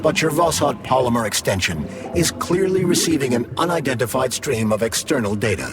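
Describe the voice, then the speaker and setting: A man's voice: mid-range voice, lively intonation, a little fast, loud music behind the voice, close to an everyday voice. A man voicing a polite, composed artificial intelligence assistant in a video game, formal and precise. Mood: measured, evasive. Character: polite, composed male AI assistant inside a glove.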